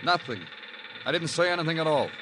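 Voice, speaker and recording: An actor with an American accent playing a terse, brooding New York police detective in a clipped, hard-boiled radio noir style. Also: male voice, a little high, expressive, brisk, noisy background, lightly acted. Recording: old radio drama with music underneath.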